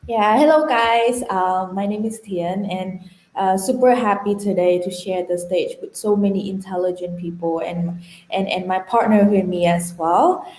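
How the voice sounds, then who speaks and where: A woman's voice: medium pitch, a little slow, darkish tone. A young woman from Vietnam, giving an informal, conversational talk full of personal storytelling and casual "like"s. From rural Vietnam. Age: young adult. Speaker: conference speaker, women-in-tech community organizer. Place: indoor room on an online conference call. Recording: roomy, echoey online call.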